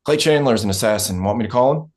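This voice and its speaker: A man acting a dry, weary oil company fixer in a script read, terse and deadpan. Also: lowish voice, fast, lightly acted. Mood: dry, unruffled. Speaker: actor.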